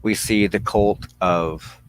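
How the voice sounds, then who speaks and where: A man giving a spiritual teaching monologue as a broadcast host, solemn and deliberate, speaking in halting phrases with pauses. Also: fairly low pitch, fairly even intonation, a little hiss or hum. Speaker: religious teacher hosting a broadcast on witchcraft.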